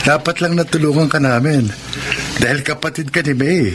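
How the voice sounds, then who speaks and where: A man's voice: fairly high pitch, normal speed, background noise, natural voice. A male actor playing a kind, indulgent young husband and father, agreeable and placating, in a radio drama. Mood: warm, obliging.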